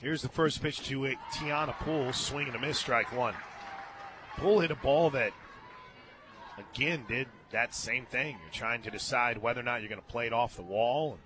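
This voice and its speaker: A man with an American accent doing live play-by-play radio commentary of a softball game, moving between chatty asides and excited calls. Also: medium pitch, moderate pace. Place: open radio booth at a ballpark. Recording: outdoor stadium noise behind the voice. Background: ballpark ambience.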